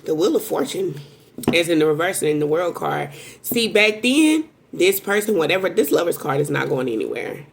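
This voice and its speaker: A woman giving a casual, rambling tarot reading to viewers, talking in a strong voice that jumps into sudden high exclamations. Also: very animated, normal speed, creaky, slight background noise. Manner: self-described strong voice. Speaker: tarot reader.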